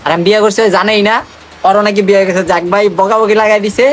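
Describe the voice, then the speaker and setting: Fast-talking young Bangladeshi man, teasing. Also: high voice, little pitch movement, faint music behind. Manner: teasing, slangy. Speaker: vlogger making prank calls. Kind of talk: casual vlog chat to viewers and on calls.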